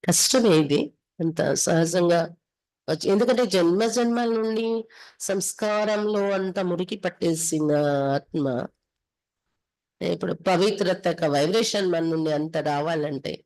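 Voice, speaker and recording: A woman's voice: fairly low pitch, normal speed, darkish tone, low-quality recording. A woman from India with a Telugu accent, reading scripture aloud and explaining it instructively as a spiritual teacher.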